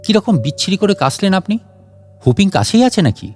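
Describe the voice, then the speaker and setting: A man's voice: sits high, sing-song, brisk, a little mellow, faint music behind, pitched above the performer's own voice. A man playing an elderly, unflappable scientist in a story, chatty, wry and fussily indignant. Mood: defiant. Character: elderly, unflappable, sarcastic, talkative gentleman scientist.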